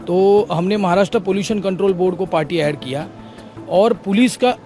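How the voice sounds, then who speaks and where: A man's voice: high voice, a little mellow. A man, a lawyer, answering a news interviewer, laying out legal points step by step with English legal phrases mixed in. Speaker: lawyer for the petitioners.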